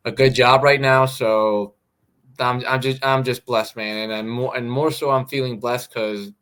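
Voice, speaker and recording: A man with an American accent, a fighter answering interview questions in a halting way, repeating words as he goes. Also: mid-range voice, fairly even intonation, fairly bright tone, clean tone. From Mexico. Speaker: fighter guest. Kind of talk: casual interview.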